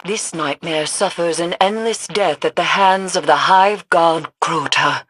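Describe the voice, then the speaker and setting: An actress playing a solemn, cryptic mystic in a video game, speaking in a grave, measured and ominous way. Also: female voice, on the low side, fairly bright tone, no echo. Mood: grave, instructive. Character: solemn, cryptic mystic woman guiding through nightmares. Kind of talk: scripted video game dialogue.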